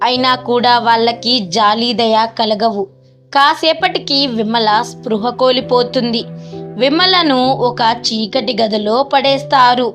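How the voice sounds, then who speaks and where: High-pitched woman narrating a story. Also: unhurried, a bit bright, faint music behind. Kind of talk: scripted children's story narration.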